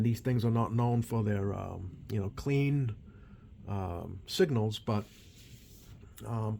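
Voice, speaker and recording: A man giving a casual hands-on demonstration as a repair technician, talking in a hesitant, rambling way with many ums and pauses. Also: on the low side, vocal fry, dark tone. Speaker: CB radio repair technician hosting a video. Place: repair workbench indoors. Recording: noisy room tone behind voice.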